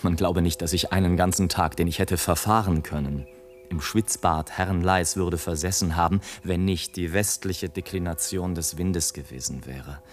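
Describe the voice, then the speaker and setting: An actor playing a sardonic airship traveller who looks down on mankind, mocking and oratorical in a literary radio play monologue. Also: male voice, sits low, steady tone, normal speed, faint music behind, close to an everyday voice. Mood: wry, confiding. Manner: mocking, oratorical, rolling long sentences. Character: sardonic male airship traveller, grimly mocking his fellow men.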